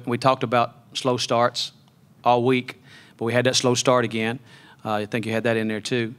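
A man's voice: mid-range voice, fairly bright tone, clear, resonant tone. A middle-aged man, a basketball head coach, talking through a loss at a postgame press conference with many fillers and restarts into a table mic. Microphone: table mic on a stand.

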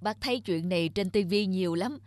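A woman's voice: on the low side, fast, darkish tone, no room sound, close to an everyday voice. A woman playing a chatty middle-aged cartoon neighbor. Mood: curious, teasing. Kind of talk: cartoon voice acting.

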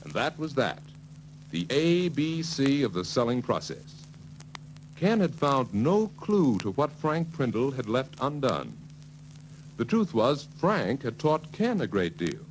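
Man, 1940s industrial film narrator, animated storytelling, noisy old film soundtrack. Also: mid-range voice, unhurried. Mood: brisk storytelling. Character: brisk male storyteller of a sales training film.

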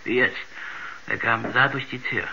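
An actor playing a rambling, uneasy village shopkeeper who stammers and repeats himself in a 1970s radio drama. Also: male voice, mid-range voice, little pitch movement, moderate pace, vocal fry, close to an everyday voice. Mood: chatty, puzzled. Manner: hesitant, stammering, repetitive, rambling. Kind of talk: 1970s science-fiction radio drama acting. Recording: dull, noisy 1970s radio recording.

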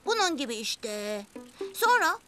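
A young cartoon rabbit boy, with a very high voice. He is friendly and helpful, explaining things step by step with music behind. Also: sing-song, a little slow, a bit bright, full character voice. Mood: instructive. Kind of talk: children's cartoon dub.

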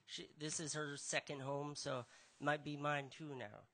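A young man stands at a small coffeehouse open mic with a guitar and speaks with a bright, slightly high voice. He talks shyly and haltingly, full of fillers. A stage mic picks him up as a room camera records. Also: fairly even intonation. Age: young adult. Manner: shy, halting, many fillers. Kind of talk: casual stage patter. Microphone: stage mic, picked up by a room camera.

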